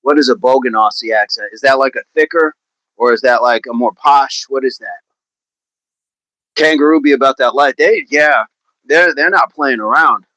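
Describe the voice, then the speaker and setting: This is a man hosting a casual fitness livestream, talking at an everyday middle pitch. He stays chatty and upbeat, and laughs easily as he answers viewers' comments. The recording is dry, with no room sound around the voice. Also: normal speed, pushed and bright, dry recording, poor audio. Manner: chatty, upbeat, laughs often, repeats phrases.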